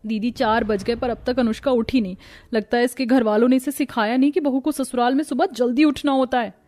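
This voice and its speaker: Woman playing a fretful sister-in-law, highish voice. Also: fairly even intonation, fairly quick, a little mellow, natural voice. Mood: worried. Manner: worrying, complaining. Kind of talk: Hindi cartoon family story voice acting.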